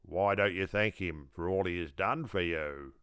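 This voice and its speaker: A man voicing a lordly, gentlemanly male god in a storybook, measured and courteous but stern when crossed. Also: low-pitched, smooth, no room sound, studio quality. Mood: mildly reproving. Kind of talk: storybook character voice.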